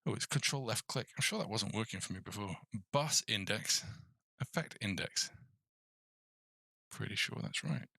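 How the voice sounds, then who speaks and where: A man's voice: fairly low pitch, expressive, moderate pace, gravelly, bright, forceful tone, dry recording. A man hosting a casual coding tutorial, thinking aloud in a hesitant mutter as he works. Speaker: game development tutorial host.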